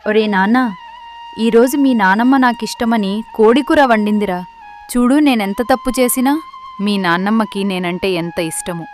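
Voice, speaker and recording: A remorseful young mother-to-be behind bars, voiced by a woman for a storybook tale. She speaks high and soft, pleading and sorrowful. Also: moderate pace, faint music behind, natural voice. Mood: tender. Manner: sorrowful, talks softly to her unborn baby. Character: pregnant young woman jailed, remorseful and tender. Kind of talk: storybook voice acting.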